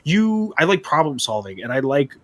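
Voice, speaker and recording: A man with a stuffy nose, chatting on a podcast about his tabletop characters, animated and full of swearing. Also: on the high side. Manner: animated storytelling, swearing, quick asides. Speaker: podcast guest. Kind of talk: casual chat about tabletop gaming.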